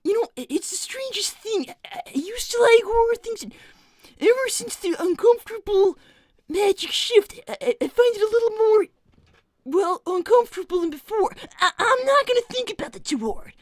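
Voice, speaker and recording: A woman's voice: extremely high pitch, animated, moderate pace, dry recording. A woman voicing a nervous, earnest young male fox who stammers and rambles while streaming live. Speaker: livestream character performer. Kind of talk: live roleplay stream with chat.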